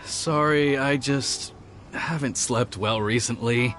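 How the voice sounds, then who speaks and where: A man's voice: medium pitch, slow, fairly bright tone, faint music behind. A man playing an earnest, dutiful and anxious young retainer in a video game, formal and hesitant in manner. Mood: worried, subdued. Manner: formal, hesitant, self-reproaching.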